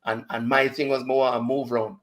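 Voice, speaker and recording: A man's voice: mid-range voice, level tone, moderate pace. Jamaican guy hosting a radio chat, easygoing, laughs a lot, drops into patois. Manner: chatty, mixes patois into speech, laughs often. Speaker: radio show host. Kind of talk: casual chat.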